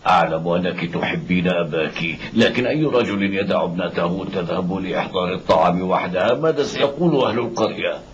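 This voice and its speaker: An actor playing a devout, tender blind widowed father in a dubbed cartoon, pleading and gentle in manner. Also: low-pitched, fairly quick, hardly any pauses, lightly acted. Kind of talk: dubbed cartoon acting in formal Arabic. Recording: muffled old cartoon soundtrack with background noise.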